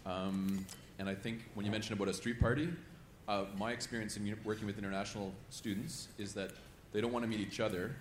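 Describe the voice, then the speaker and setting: A man's voice: lowish voice, steady tone, fast, hardly any pauses, echoey room, slight background noise. A man with a Canadian accent asks a panel a question in a chatty, self-deprecating way. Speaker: audience member asking a question. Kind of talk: casual spoken question at a panel.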